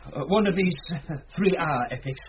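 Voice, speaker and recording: A man's voice: on the high side, animated, normal speed, natural voice. Man playing a flustered, evasive older British man. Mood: nervous, jovial. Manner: hesitant, flustered. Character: nervous, evasive older man hiding a family scandal. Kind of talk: British radio drama acting. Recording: dull old radio recording with faint hiss.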